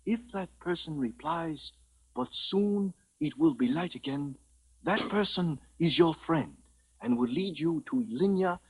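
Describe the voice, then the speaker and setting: An actor playing a cautious old Burmese temple priest, speaking gravely and formally in a 1950s radio drama. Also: male voice, a little high, expressive, a little mellow, rough recording. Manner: grave, formal, measured, cryptic. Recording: vintage 1950s radio broadcast.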